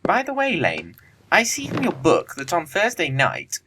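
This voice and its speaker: An actor playing a flippant, witty young upper-class English bachelor in an audio play, with arch, lofty, affected phrasing. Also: male voice, sits high, huge pitch swings, moderate pace, noisy background. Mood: breezy, self-satisfied. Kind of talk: audio play acting of Victorian comedy of manners.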